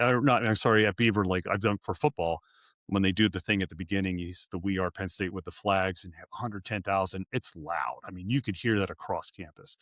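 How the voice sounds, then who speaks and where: A man's voice: deep voice, fairly bright tone, no room sound, clean studio sound. A man in his forties, a sports arena announcer, chatting in an interview, rambling and correcting himself with little chuckles. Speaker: arena PA announcer, podcast guest. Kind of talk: casual interview chat.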